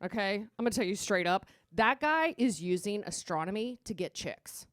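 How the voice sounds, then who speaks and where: A woman's voice: medium pitch, expressive, normal speed, dry recording, lightly acted. Woman playing a catty, sarcastic Renaissance lady comic, dry sound. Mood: gossipy, indignant. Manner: conversational valley-girl sarcasm, full of "like". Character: catty, gossipy Renaissance-era lady doing stand-up. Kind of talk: stand-up comedy routine.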